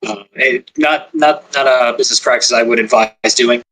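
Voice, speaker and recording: Husky, forceful man, hesitant podcast talk. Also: medium pitch, fairly even intonation, normal speed, poor audio. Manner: hesitant, repeats words. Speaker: script writer. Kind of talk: casual podcast chat.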